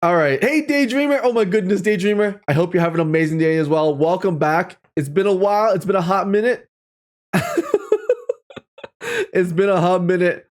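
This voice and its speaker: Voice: mid-range voice, normal speed. A livestream host chatting casually to viewers in a playful, exclamatory way, often repeating phrases for effect.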